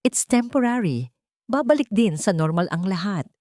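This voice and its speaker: A woman's voice: mid-range voice, sing-song, normal speed, warm tone, no echo, clean studio sound, lightly acted. Woman acting out an arrogant, hot-tempered rich executive man. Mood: placating. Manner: scornful, code-switching into English.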